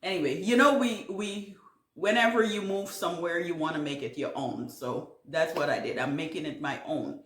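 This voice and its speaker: A woman's voice: low-pitched, normal speed, a bit bright, echoey room. A middle-aged woman from Guyana with a Caribbean accent, hosting a casual question-and-answer video for her gardening channel and rambling a bit with fillers and restarts. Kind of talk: casual Q&A vlog. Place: home.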